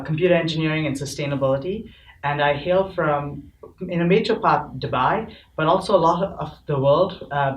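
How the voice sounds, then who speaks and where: An upbeat man hosts a casual student chat, speaking from some distance in an echoey room. Faint background noise sits under his even, middle-pitched voice. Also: muffled recording. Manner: upbeat, friendly, with fillers. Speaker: host. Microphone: distant mic.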